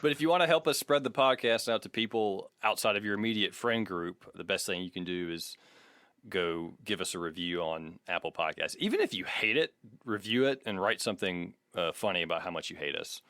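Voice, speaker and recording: A man's voice: low-pitched, a little fast, fairly bright tone, no echo, studio quality. A man co-hosting a podcast in a casual, chatty, self-deprecating way, explaining things in an informal, rambling style. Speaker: podcast co-host.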